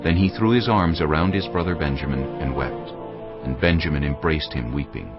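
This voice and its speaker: Deep guy narrating the bible dramatically, music behind him, bit muffled. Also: moderate pace. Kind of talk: dramatic scripted scripture narration. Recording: dull audio with clear music bed.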